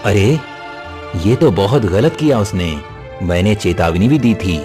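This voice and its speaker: A wise old hermit sage, played by a man, speaks in a lowish voice. He is grave and instructive, in cartoon storytelling style, with clear music behind him. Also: expressive, unhurried, darkish tone. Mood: stern. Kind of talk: Hindi cartoon moral story acting.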